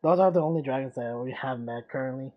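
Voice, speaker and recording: A woman's voice: a bass voice, fast, narrow, tinny audio. A woman giving casual gameplay commentary as a streamer, hesitantly searching for words. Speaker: game streamer.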